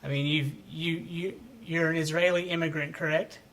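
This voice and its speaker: A man's voice: a little high, fairly even intonation, moderate pace, fairly bright tone. A man hosting a podcast, interviewing a guest with friendly, short follow-up questions. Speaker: podcast host. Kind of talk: casual interview.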